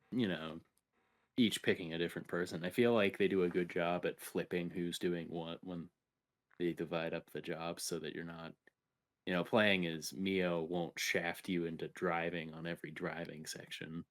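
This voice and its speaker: Deep voiced guy on a games podcast, just casually chatting with his co-host. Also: steady tone, normal speed. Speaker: podcast co-host and game reviewer. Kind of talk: casual gaming chat.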